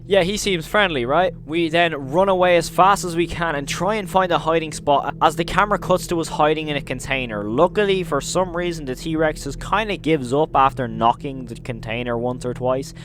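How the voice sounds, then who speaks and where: A man's voice: on the high side, a little fast, background noise. A man hosting a casual recap commentary, telling the story emphatically and leaning on intensifiers. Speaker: video host and narrator.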